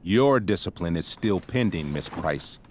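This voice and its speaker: An actor playing a stern, middle-aged high school principal with a measured, officious manner in a video game drama. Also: male voice, lively intonation, a little hiss or hum. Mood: stern, disappointed.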